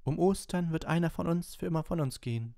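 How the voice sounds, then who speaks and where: A man's voice: on the high side, brisk, creaky, mellow, no echo, studio quality, voice pushed up. A man voicing an eager, awestruck teenage schoolgirl in an audiobook, with breathless, insistent questions. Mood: excited.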